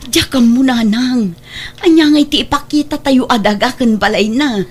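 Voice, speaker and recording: A woman's voice: fairly high pitch, moderate pace, close to an everyday voice. A woman with an Ilocano Filipino accent playing a guilty, evasive older sister in an audio drama. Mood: worried.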